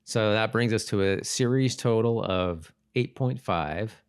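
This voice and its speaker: Low-voiced man, halting casual podcast chat. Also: clean tone. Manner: halting, repeats and rephrases himself. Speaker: podcast co-host. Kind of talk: casual chat about a TV episode.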